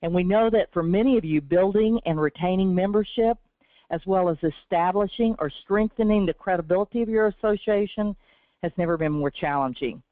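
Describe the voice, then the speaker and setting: A woman with a sore throat and a slightly raspy voice hosts a webinar. She reads from a prepared script in an earnest, encouraging tone. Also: lowish voice, a little fast, no echo. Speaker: webinar host from a business development team. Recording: tinny, dry phone-line audio.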